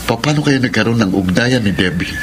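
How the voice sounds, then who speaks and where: A man's voice: mid-range voice, animated, a little fast, noisy background, lightly acted. A man playing a bewildered, forgiving betrayed partner in a Filipino radio soap, earnest and questioning, with a Filipino accent. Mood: calm, forgiving.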